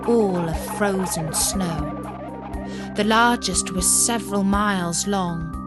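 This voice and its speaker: A woman reading a fairy tale as a storyteller, with measured, expressive storybook narration. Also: medium pitch.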